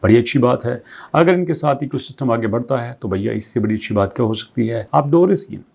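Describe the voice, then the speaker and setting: Guy running a crypto news channel, dark mellow voice, talks it through casual, lots of rhetorical questions. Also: medium pitch, lively intonation, fairly quick, vocal fry, husky. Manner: reads English passages aloud, then explains them conversationally; poses strings of rhetorical questions. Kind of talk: explanatory commentary.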